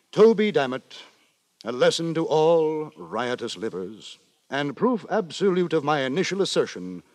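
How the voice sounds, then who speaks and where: A man playing a pompous, wry gentleman narrator in a 1950s radio drama, telling his tale with ornate, mock-solemn deliberation. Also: medium pitch, no room sound. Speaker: narrator and lead actor. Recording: dry 1950s radio with music.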